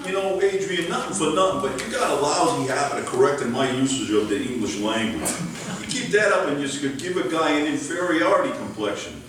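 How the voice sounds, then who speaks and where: A man's voice: a little high, expressive, a little fast, natural voice. Man doing a dim, swaggering tough-guy bowler. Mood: cocky. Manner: streetwise slang, garbled malapropisms, hesitant when flirting. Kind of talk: live amateur old-time radio comedy. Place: library meeting room, live show. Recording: very echoey, distant mic, room noise.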